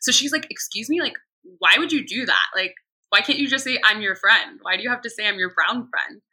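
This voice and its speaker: A woman's voice: a little high, a little fast, slightly rough, rough recording. A young American woman telling personal stories in a candid, conversational way as a podcast guest, often acting out what people said. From United States. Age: young adult. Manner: animated, quotes herself and others in anecdotes.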